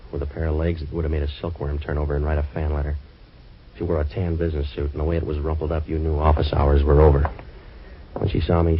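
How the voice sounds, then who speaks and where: An actor playing a wisecracking, hard-boiled male detective in a 1940s radio drama, narrating and trading quips in a deadpan way. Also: a bass voice, lo-fi sound, a little hiss or hum.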